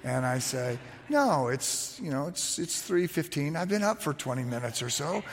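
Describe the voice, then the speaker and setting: Male preacher, slightly high voice, raspy when quiet, lively storytelling sermon, long hall reverb. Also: normal speed, slight background noise. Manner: animated storytelling with frequent restarts and fillers.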